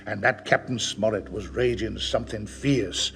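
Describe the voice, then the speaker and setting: A man's voice: fairly low pitch, moderate pace, vocal fry, background noise. A man playing a wily old pirate captain in an audio adventure, mock-friendly and menacing, talking in a sly rustic seafaring dialect. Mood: mock-friendly, needling.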